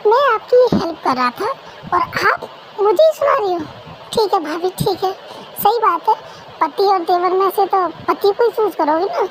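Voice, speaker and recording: A woman's voice: extremely high pitch, animated, moderate pace, a little hiss or hum, rough recording. A woman voicing a cheeky brother-in-law. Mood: sulky. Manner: teasing, mock-offended. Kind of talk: amateur fan-dub voice acting.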